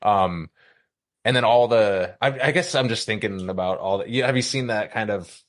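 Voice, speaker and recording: Lowish-voiced man, casual podcast talk. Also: moderate pace, no room sound. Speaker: podcast host.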